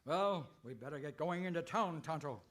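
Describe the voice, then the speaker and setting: An actor playing a bumbling, earnest, mildly exasperated cowboy hero in a comic old-time radio western. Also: male voice, a little high, expressive, normal speed, fairly bright tone. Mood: wry complaining. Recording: faintly noisy live stage recording.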